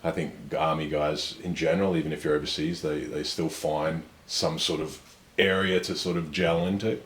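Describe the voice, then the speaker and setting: A young man telling his life story in a relaxed, self-assured, measured way during a casual conversation. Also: a bass voice. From Australia. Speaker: guest. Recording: echoey room with faint ambience.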